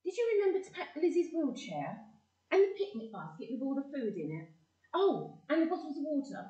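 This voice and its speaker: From an echoey room comes a woman acting a bossy London family matriarch in an audio play. Her voice is highish and swoops as she scolds and nags, good-hearted underneath. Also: sing-song, brisk, mellow, far from the mic, dull audio. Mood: nagging. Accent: London English. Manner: exasperated, scolding. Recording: echoey room, away from mic.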